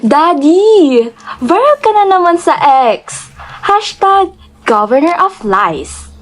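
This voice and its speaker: A woman's voice: extremely high pitch, very animated, takes it slowly, exaggerated voice. A woman playing a brash, teasing young daughter in a radio drama, sassy and mixing in English. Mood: teasing, excited. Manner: sassy, code-switching with English.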